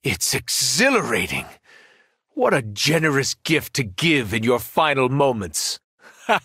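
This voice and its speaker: A man's voice: mid-range voice, very animated, measured pace, sharp tone, breathy edge, no echo, studio quality, heavily acted. An actor playing a menacing, arrogant alien superhero turned villain in a video game, taunting his foes with cold mockery and grandiose threats. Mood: mocking.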